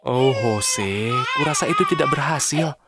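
A man voicing a patient, sensible, caring helper in a children's cartoon, gently admonishing and expressive. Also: medium pitch, unhurried, very noisy. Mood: concerned. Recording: studio voice over cartoon music.

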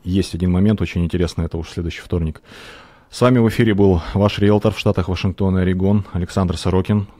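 A man hosting an educational radio show in a studio, talking in a measured, hesitant, explanatory way. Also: low-pitched, fairly even intonation, warm tone, no echo. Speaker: radio show host, realtor. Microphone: studio microphone on arm, headphones.

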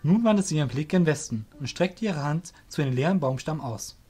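A man's voice: a little high, lively intonation, brisk, dark tone, husky, soft background music. A man narrating a scripted fantasy audio drama.

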